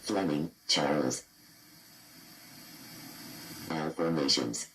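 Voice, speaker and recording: A man's voice: very deep voice, drawn-out words, fairly bright tone, a little hiss or hum, heavily acted. A man voicing a cold, clinical computer system that reads out horror patient files in clipped, impassive fragments. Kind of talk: scripted horror database readout.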